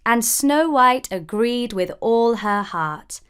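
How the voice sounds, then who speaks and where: A woman narrating a scripted children's fairy tale as a storyteller, expressive and deliberate. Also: on the high side, smooth.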